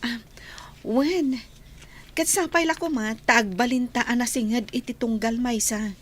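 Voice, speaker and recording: A woman with an Ilocano accent playing a friendly, bashful young trainee in a radio drama, sweet and gently hesitant. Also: a little high, unhurried. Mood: shy, friendly.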